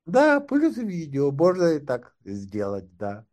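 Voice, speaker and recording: A man's voice: fairly high pitch, huge pitch swings, slow pace, a little mellow. A man speaking as an interview guest and doctoral candidate, talking in a wry, sardonic way. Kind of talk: casual conversation.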